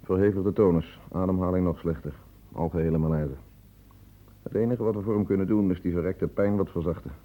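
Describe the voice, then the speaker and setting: An actor playing a grim, impatient hospital doctor in a Dutch radio drama, speaking tersely and wearily. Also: male voice, low-pitched, steady tone, brisk, phone-quality sound, lightly acted. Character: grim, impatient male hospital doctor reporting on a dying patient.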